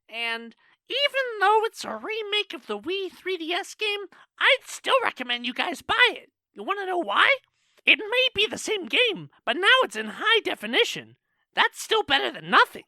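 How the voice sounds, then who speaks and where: Cheeky male cartoon monkey, female actor, sassy talker, bright and very animated. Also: very high-pitched, no echo, exaggerated voice. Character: cheeky thirty-year-old male cartoon monkey, chatty and opinionated. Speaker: co-host of a fan question show. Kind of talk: fan audio drama voice acting. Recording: dry voice over background music.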